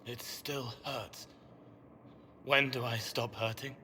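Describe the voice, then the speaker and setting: A man acts out a childlike, troubled young man who was once a spirit. He talks slowly and haltingly, with a plain, wistful tenderness, in video game cutscene style. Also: mid-range voice, little pitch movement, heavy background noise, lightly acted. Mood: sad, searching.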